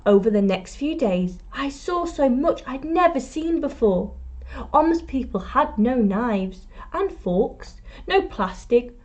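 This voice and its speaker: A woman teacher reading a picture book aloud to children, expressively, with deliberate pauses and emphasis. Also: fairly high pitch, a little mellow, dull audio. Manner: prompts young listeners with questions. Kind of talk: storytime read-aloud.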